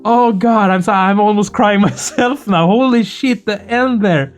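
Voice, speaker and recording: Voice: medium pitch, unhurried, soft background music. A gaming video host chatting casually and effusively, earnest and prone to swearing. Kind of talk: casual excited chat after a game playthrough.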